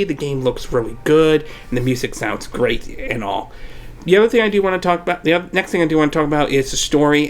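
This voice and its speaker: A man reviews a video game in a loose, chatty way while fighting a cold. He wanders through his points with hesitant pauses and often tacks a trailing "though" onto his sentences. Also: on the high side. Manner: frequent hesitant "um" fillers. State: has a cold. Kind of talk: casual spoken game review.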